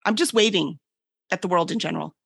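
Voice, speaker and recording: A woman's voice: lowish voice, fast talker, vocal fry, fairly bright tone, slightly rough, no echo, clean studio sound. A woman guest in a casual interview, explaining things emphatically and often checking in with the listener. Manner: emphatic, peppers points with "right?". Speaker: podcast guest, book publisher.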